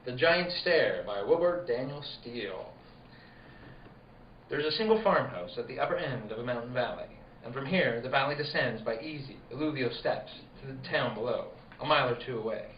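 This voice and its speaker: Male announcer-narrator, mid-pitched, measured old-time radio storytelling, recorded off-mic with clear background noise. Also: expressive. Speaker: announcer and narrator of a theatre show. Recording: distant, roomy, muffled, noisy recording.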